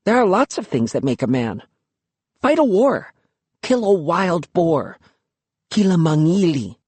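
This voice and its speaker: A narrator voicing a spirited young village girl who teases and insists, speaking in a raised, lively voice. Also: medium pitch, brisk, husky, no echo. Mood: insistent. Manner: playful, insistent.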